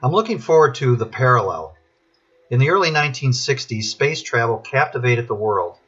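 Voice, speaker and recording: A man giving prepared spoken commentary as a podcast contributor, in measured, formal phrasing. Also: mid-range voice, expressive, fairly bright tone, breathy edge. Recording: dull lo-fi recording.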